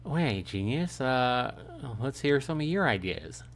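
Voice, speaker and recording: A man's voice: medium pitch, sing-song, takes it slowly, voice pushed up. Grumpy, sleepy game protagonist, read by a male streamer, sarcastic, slightly raised everyday voice. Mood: exasperated. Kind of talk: playful character reading on a livestream.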